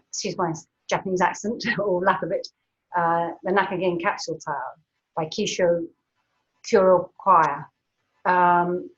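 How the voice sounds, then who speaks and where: A woman's voice: lowish voice, lo-fi sound. A woman in her fifties, an art historian, gives a chatty illustrated talk over slides, with many ums and light laughs.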